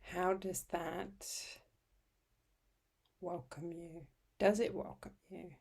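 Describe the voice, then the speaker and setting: Very slow, hushed woman guiding meditation. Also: fairly low pitch, level tone. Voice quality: soft, breathy, husky. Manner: soothing, unhurried, with long pauses between short phrases. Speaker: yoga nidra instructor. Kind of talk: guided meditation.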